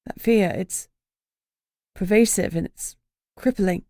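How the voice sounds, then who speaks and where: A woman's voice: medium pitch, slow, darkish tone, no room sound, studio quality. A woman voicing a shaken young British woman who speaks with earnest, trembling resolve. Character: shaken young woman facing her fears.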